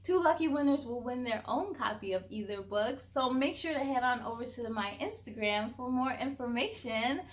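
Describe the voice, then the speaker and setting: A woman hosting a children's read-aloud, expressive and encouraging as she speaks to young listeners. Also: on the high side, normal speed, hardly any pauses. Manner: expressive, encouraging, addresses young viewers directly. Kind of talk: animated storybook reading for children.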